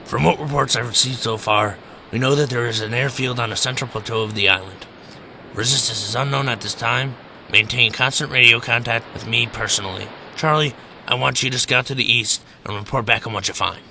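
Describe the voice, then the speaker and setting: A man's voice: medium pitch, fairly quick, vocal fry, bright, forceful tone, a little hiss or hum, poor audio. A man playing a stern, commanding American army captain in an amateur World War II radio drama, speaking in dry, clipped orders.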